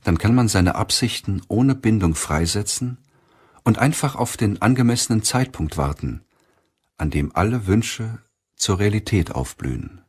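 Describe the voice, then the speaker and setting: A man reading a self-help audiobook in a measured, deliberate way with pauses. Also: lowish voice, expressive, a little mellow, husky. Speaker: audiobook narrator. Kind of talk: scripted audiobook narration of a self-help book.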